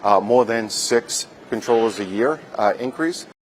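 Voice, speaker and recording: A man's voice: medium pitch, measured pace. A man explaining things off the cuff in an interview soundbite. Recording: noisy interview, background ambience.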